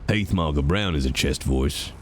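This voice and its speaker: Deep-voiced stuffy streamer man. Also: lively intonation, normal speed, slight background noise. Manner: rambling, self-talking, swears freely. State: stuffy nose from a cold. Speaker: streamer roleplaying a game character. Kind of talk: casual chat to viewers while playing.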